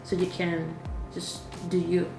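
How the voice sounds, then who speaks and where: A woman's voice: on the low side, monotone, measured pace, gravelly, echoey room, far from the mic, music in the background. A woman in her twenties from Venezuela who speaks natively, giving short, plain answers as an executive assistant. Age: 20s. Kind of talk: casual interview answers.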